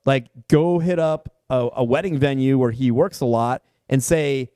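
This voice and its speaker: A man in his forties co-hosting a podcast, talking quickly with jokes and swearing. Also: medium pitch, dark tone, smooth, no room sound, clean studio sound. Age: 40s. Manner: quick, joking, swears freely. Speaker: podcast co-host. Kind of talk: casual chat.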